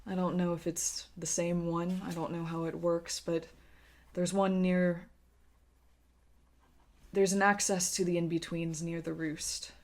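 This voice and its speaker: A woman voicing a grave, resigned man who speaks in a quiet, measured, serious way in a tabletop game. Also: on the low side, level tone. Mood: measured, guarded.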